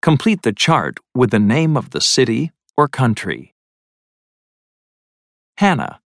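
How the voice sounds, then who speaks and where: A man reading the instructions of a textbook listening lesson in slow, deliberate announcing. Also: mid-range voice, sing-song, vocal fry, dry recording, clean studio sound. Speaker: lesson narrator. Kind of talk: scripted instructions.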